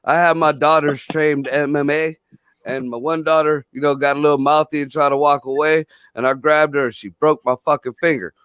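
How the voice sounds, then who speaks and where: A man's voice: on the high side, steady tone, a little fast, fairly bright tone, clean tone, no room sound. A man telling stories in casual chat, blunt and full of profanity.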